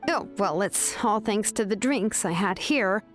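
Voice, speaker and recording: A woman's voice: medium pitch, expressive, a little slow, lightly acted. A woman voicing an easygoing young woman artisan, affectionate and reassuring, in an anime game. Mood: relaxed, fond. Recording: game music behind.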